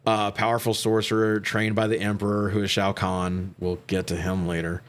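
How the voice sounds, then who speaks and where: Low-voiced man, irreverent and sweary. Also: completely flat delivery, normal speed. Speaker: podcast co-host reviewing movies. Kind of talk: casual recap commentary, profane.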